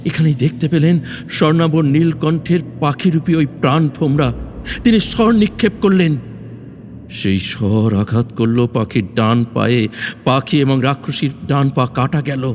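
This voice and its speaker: A man playing an earnest, resolute young fairy-tale prince in an audio drama, speaking formally with deliberate, dramatic phrasing. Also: fairly high pitch, darkish tone, natural voice. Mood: grave, narrating. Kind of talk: Bengali audio drama acting. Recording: long reverb, faint background noise.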